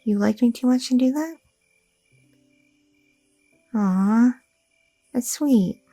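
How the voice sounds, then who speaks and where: A woman voicing a sweet, anxious young goth girlfriend in an ASMR roleplay, talking softly and a little hesitantly, close to the mic. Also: fairly high pitch, slow pace, no echo, soft background music. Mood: tender. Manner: soft, affectionate, a little hesitant, with fillers.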